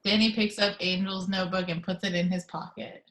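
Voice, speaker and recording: A woman reading the action descriptions of a screenplay in a steady, matter-of-fact way on a video call. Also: fairly low pitch, brisk.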